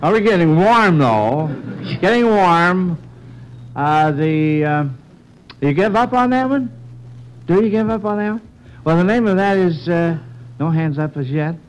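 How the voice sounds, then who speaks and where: A man's voice: sits high, expressive, a little slow, long pauses, slight background noise, low-quality recording. A man hosting a 1940s-style radio quiz for children, hesitant, with frequent murmured affirmations. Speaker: quiz show host.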